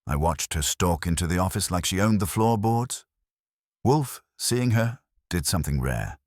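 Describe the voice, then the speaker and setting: A man tells a first-person hardboiled mystery with a wry, wisecracking edge. His voice is extremely deep yet moves lively through its pitch. The recording is close and dry. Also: moderate pace, no room sound. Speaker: narrator.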